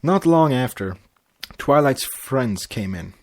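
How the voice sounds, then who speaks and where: A man's voice: mid-range voice, expressive, takes it slowly, a little mellow. A man narrating a story aloud as an amateur podcast host, tripping over words and correcting himself. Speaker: podcast host reading fan fiction aloud.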